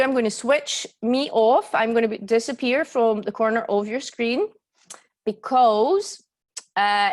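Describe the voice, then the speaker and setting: A woman in her forties with a Glaswegian accent, hosting a live online yoga workshop in a chatty way that keeps checking in with her listeners. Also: medium pitch. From Scotland. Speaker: yoga teacher and workshop host. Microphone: computer mic on a video call.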